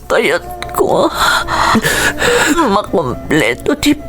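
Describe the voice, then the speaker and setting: An actress playing a frail, determined pregnant wife in a radio melodrama, weak, breathy and tearfully pleading. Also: female voice, medium pitch, huge pitch swings, very slow, exaggerated voice. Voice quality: breathy, whispery, sobbing. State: crying, weak. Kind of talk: melodramatic Ilocano radio drama acting. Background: background music.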